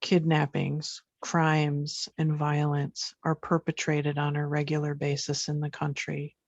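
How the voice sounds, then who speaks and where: A woman from Haiti, a women's rights advocate, reading a prepared statement in an even, measured way. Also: deep voice, dry recording. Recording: dull dry recording.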